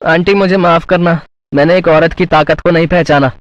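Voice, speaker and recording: A man's voice: fairly high pitch, steady tone, moderate pace, no echo, lo-fi sound, natural voice. A man playing an earnest, respectful young street vendor in a cartoon story. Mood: apologetic. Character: humbled young male street-food seller, apologetic. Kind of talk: Hindi moral-story cartoon voice acting.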